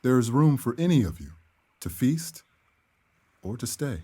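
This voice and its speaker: An actor playing a polite, warm-hearted village man in a Regency audio drama, courteous and deferential. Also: medium pitch, big pitch swings, a little slow, slightly rough. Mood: grateful, hopeful.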